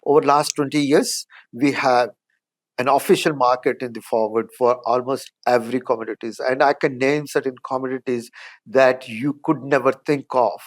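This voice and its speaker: A middle-aged man with an Indian accent, a professor answering interview questions in a measured, explanatory way with frequent pauses. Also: medium pitch, fairly even intonation.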